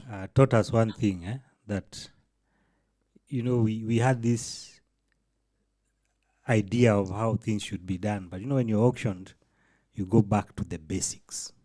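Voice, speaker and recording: A man's voice: on the low side, a little slow, long pauses, dark tone, dry recording, studio quality. A man co-hosting a casual podcast, talking reflectively and mixing in Swahili phrases. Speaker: podcast co-host.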